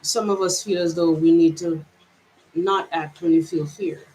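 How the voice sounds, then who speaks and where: A woman's voice: lowish voice, fairly even intonation, normal speed, a little mellow, rough recording. A woman from Jamaica with a mixed Jamaican and American accent, giving a reflective personal vlog monologue in a hesitant, searching way with many restarts. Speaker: vlogger.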